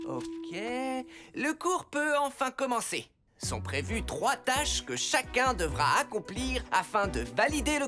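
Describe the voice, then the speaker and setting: Animated high-pitched cartoon superhero teacher. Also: male voice. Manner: encouraging, theatrical, announcing. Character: upbeat adult male superhero schoolteacher. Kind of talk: French children's cartoon dub acting.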